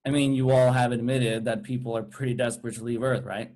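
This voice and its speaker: A man's voice: medium pitch, steady tone, fairly quick, a little mellow, pitched below the performer's own voice, close to an everyday voice. A man playing a smug, flippant alien villain in a comedy table read. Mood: flippant menace. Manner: sarcastic, flippant. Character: smug male evil alien twin, sarcastic villain.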